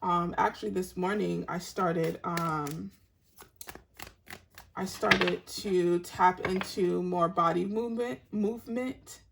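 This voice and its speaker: A woman giving a tarot reading to her online audience in a soft, meandering, spiritual way, with a raspy voice. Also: lowish voice, steady tone, noisy background. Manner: soft-spoken and meandering, unhurried spiritual talk. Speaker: tarot reader.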